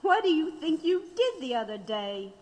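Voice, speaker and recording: A woman playing a proud radical widow in an audio drama, measured, reflective and wry. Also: high voice, sing-song, a little mellow. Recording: reverberant, hall-like sound.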